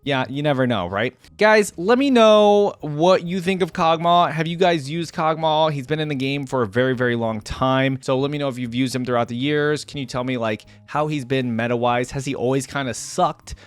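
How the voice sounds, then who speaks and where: A man's voice: mid-range voice, normal speed, hardly any pauses, clear, resonant tone, no room sound. A man hosting a reaction video, chatting casually to his viewers and rambling as he thinks aloud.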